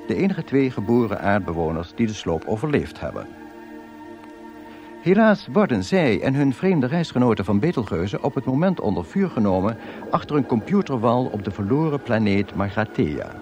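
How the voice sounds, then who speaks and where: An actor playing a wry narrator of an absurd science-fiction radio comedy, telling it in a measured deadpan. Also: male voice, on the low side. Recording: radio play with music behind.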